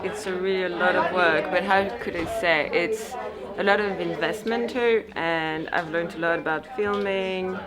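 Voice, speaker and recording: A young woman with a fairly low voice reflects aloud on her studies, often pausing as she searches for the right words. Loud crowd chatter fills the background. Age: young adult. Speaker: journalism student. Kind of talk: documentary interview answers.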